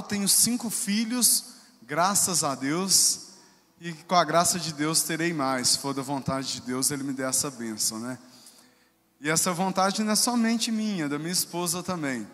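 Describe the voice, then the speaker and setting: A man's voice: fairly high pitch, a bit bright. A Brazilian man preaching to a congregation as a lay missionary, exhorting his listeners. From Congonhas, Brazil. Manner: exhortative, addressing and leading the congregation. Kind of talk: sermon to a congregation. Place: church, amplified in a large hall. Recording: reverberant church sound with music behind.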